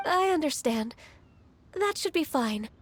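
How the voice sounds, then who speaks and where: A woman voicing a polite, soft-spoken young half-divine disciple in a video game. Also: sits high, expressive, a little slow.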